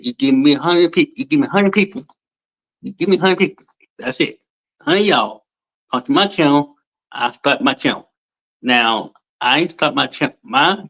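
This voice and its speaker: A man's voice: medium pitch, fairly bright tone, rough recording. A man in his forties, a guest telling his story in casual chat, rambling and repeating himself, with informal colloquial speech, over a video call. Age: 40s.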